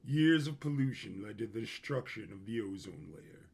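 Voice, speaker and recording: A man's voice: lowish voice, expressive, moderate pace, creaky, husky. A man reading scripted, dramatic story narration.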